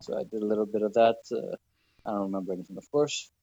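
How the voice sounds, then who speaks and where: Mellow-voiced man chatting as podcast guest. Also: on the low side, quick pace, no room sound, lo-fi sound. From Greece. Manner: relaxed, hesitant with many fillers. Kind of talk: casual interview chat.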